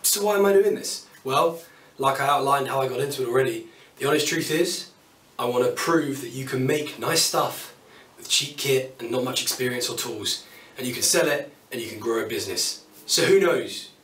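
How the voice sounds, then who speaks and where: A man's voice: medium pitch, moderate pace, fairly bright tone, distant mic. Chatty British man in an echoey workshop. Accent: British English. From United Kingdom. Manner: chatty, upbeat, self-deprecating asides. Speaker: woodworking YouTuber. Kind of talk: casual tool walkthrough to camera. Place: small home workshop, indoors. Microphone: camera mic at a distance. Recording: roomy workshop sound, voice off-mic.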